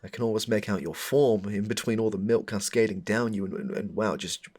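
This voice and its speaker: A man's voice: fairly quick, darkish tone, natural voice. A man playing a smitten, rambling suitor with a shady air in a comedic audio roleplay, stammering and overdramatic as he speaks to the listener. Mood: awestruck, flustered. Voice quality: low, gravelly, husky. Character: smitten, rambling, darkly suspicious man meeting his crush.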